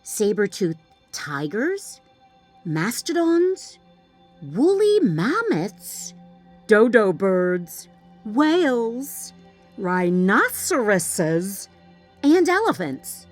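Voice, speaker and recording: A woman reads a picture book to children with dramatic emphasis. Her delivery is extremely slow and very animated. Faint music plays behind her storytelling. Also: mid-range voice. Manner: dramatic, deliberate emphasis for young listeners. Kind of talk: children's storybook read-aloud.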